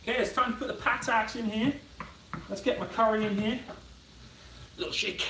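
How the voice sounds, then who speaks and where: A man's voice: high voice, steady tone, normal speed, bright, forceful tone, far from the mic, a little hiss or hum. British man chatting while cooking, echoey. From United Kingdom. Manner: chatty, reads out viewer comments and answers them. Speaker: channel host. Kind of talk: casual live-stream cooking chat. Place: home kitchen.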